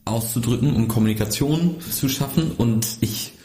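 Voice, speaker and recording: A man's voice: medium pitch, moderate pace, dark tone, reverberant. A man in a casual podcast conversation, telling stories in an easygoing, agreeable way.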